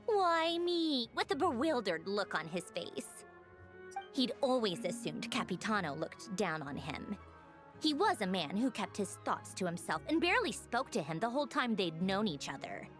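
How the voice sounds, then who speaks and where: A self-assured woman in a video game, teasing and knowing. Her delivery is conversational and very lively, rising and dipping as she shares what she knows, with faint music underneath. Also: medium pitch, moderate pace. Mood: knowing, explanatory. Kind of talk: video game dialogue acting.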